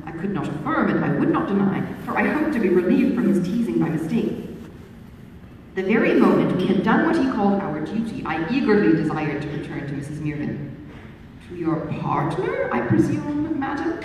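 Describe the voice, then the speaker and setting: A woman giving a lively, theatrical reading of a novel at a book launch, first-person narration. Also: fairly quick, a little hiss or hum. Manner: lively, theatrical, swoops in pitch. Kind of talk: expressive dramatic reading of a novel. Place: book launch event hall. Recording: echoey hall from far off.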